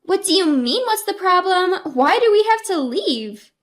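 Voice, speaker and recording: A woman voicing a spoiled, panicked younger woman in a narrated story, shrill and indignant. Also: animated, normal speed, a bit bright, full character voice. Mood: alarmed indignation.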